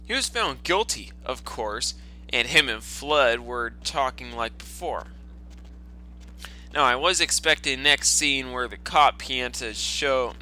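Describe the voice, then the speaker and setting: A man's voice: mid-range voice, bright, forceful tone, poor audio. A man doing a dramatic storytime reading, breaking into sarcastic, profane and exclamatory mocking asides. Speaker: storytime narrator. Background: video game music.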